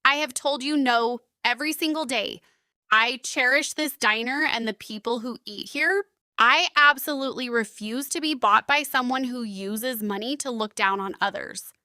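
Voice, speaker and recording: Woman as no-nonsense big sister in an animated story: high forceful voice, proud, firm, formal. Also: normal speed, smooth, no echo, clean studio sound. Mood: firm, indignant. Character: blunt, proud older sister running a diner. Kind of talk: animated story character acting.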